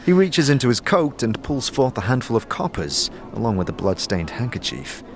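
A man's voice: medium pitch, big pitch swings, normal speed, noisy background. A man giving dramatic scripted second-person narration for a fantasy audio drama. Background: music.

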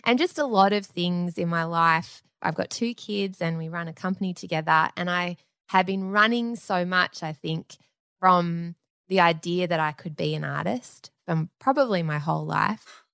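A woman's voice: lowish voice, normal speed, creaky, dry recording, clean studio sound. A woman sharing a heartfelt personal story candidly in a conversation. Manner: candid, heartfelt, animated in telling.

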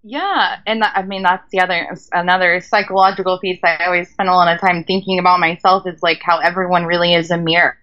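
A woman's voice: fairly low pitch, steady tone, fast, hardly any pauses, pushed and bright, muffled recording. A woman from California with an American accent, chatting as an interview guest, talkative and laughing often at herself. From California, USA. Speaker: guest, traveler. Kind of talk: casual conversational interview.

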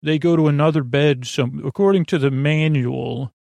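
Guy with a creaky voice doing a halting, wandering bedtime podcast monologue, really dry recording. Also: a little high, darkish tone, no room sound. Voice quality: creaky, gravelly. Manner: meandering, halting, repeats and corrects himself. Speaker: podcast host. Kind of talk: rambling, whimsical bedtime monologue.

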